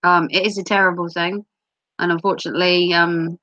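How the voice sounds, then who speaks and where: A woman from the UK with a cold, wheezy and clearing her throat, chatting casually and rambling on a livestream with self-mocking jokes. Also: lowish voice, level tone, a little fast, pushed and bright, dry recording. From United Kingdom. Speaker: livestream host. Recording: dull lo-fi sound.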